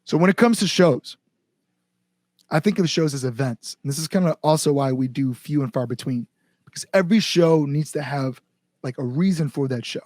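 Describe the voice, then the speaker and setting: An American man talks in a casual interview as a band's front-man. His voice sits a little high for a man and is recorded very dry. He speaks earnestly and informally. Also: normal speed, darkish tone, dry recording. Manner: earnest, informal with mild swearing.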